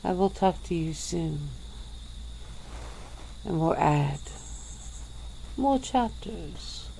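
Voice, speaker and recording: A woman voicing an ancient, weary female vampire in a soft-spoken ASMR roleplay, with low chuckles. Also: animated, drawn-out words, creaky, slight background noise, full character voice, poor audio.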